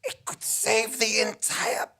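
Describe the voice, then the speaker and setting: A man playing a bumbling, melodramatic captive official in a comic audio drama, overwrought, halting and comically pompous. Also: high-pitched, monotone, creaky, sharp tone, heavily acted. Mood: straining, officious.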